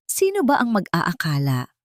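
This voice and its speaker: A woman's voice: lowish voice, wildly swooping pitch, moderate pace, dry recording, studio quality. A woman voicing a spoiled young rich mistress of a mansion, sneering, taunting and imperious, in an audiobook drama. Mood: contemptuous.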